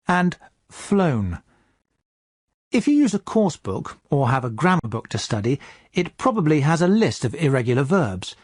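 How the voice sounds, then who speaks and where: Male language teacher, scripted step-by-step lesson, faintly husky tone, dry studio recording. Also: fairly high pitch, darkish tone, no echo. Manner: clear step-by-step explaining. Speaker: English teacher.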